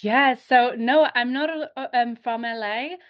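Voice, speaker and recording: A woman's voice: fairly high pitch, a little slow. A woman in her twenties with a Welsh accent, a guest actress chatting earnestly in an interview and thinking aloud with fillers. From North Wales. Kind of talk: casual interview chat. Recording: slightly dull lo-fi audio.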